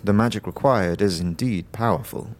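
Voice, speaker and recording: A man playing a somber young initiate who longs for death, in a fantasy audio drama, speaking bleakly and haltingly. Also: on the low side, lightly acted. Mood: doubtful.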